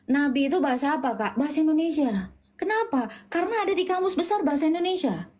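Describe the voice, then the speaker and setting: A woman's voice: sits high, animated, fast talker, darkish tone. A woman in a heated online debate, mocking and insistent, repeating her questions in slangy, informal speech.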